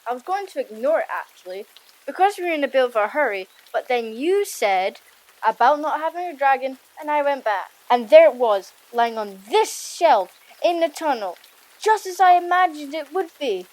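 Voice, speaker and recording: A woman's voice: high-pitched, lively intonation, moderate pace, a little mellow, rough recording. A woman voicing an earnest young Viking boy hero who explains things plainly in an audiobook drama. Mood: earnest, wondering. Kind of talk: audiobook drama character acting.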